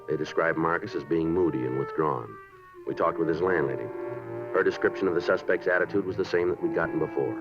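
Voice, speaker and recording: An actor in a 1950s radio drama playing a methodical police detective, clipped, factual and deadpan. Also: male voice, low-pitched, fast. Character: terse, methodical male police detective narrating his case. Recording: muffled 1950s radio with music behind.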